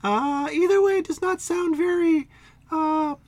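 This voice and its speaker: A man voicing a tiny, squeaky-voiced male creature who talks nonstop in slangy, rambling bursts. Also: measured pace, a little mellow, no echo. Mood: uneasy. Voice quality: squeaky, high and nasal.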